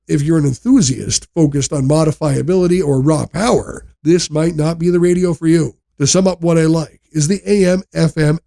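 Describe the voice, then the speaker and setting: A man reads a scripted product review in a measured, explanatory way. His voice sits a little high for a male narrator and carries a noticeable creak. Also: warm tone.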